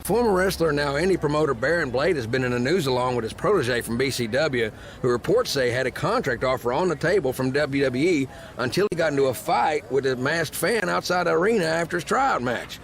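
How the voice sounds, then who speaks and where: An actor playing a male wrestling commentator who presents news in a video game with a measured, reporting manner. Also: on the high side, animated, vocal fry, a little hiss or hum. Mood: matter-of-fact. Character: male wrestling news show host, matter-of-fact legendary commentator.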